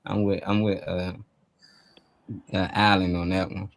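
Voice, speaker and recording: A man's voice: sits low, flat delivery, unhurried, gravelly. A man hosting a live show in casual chat, talking in an informal, colloquial storytelling way. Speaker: talk show host.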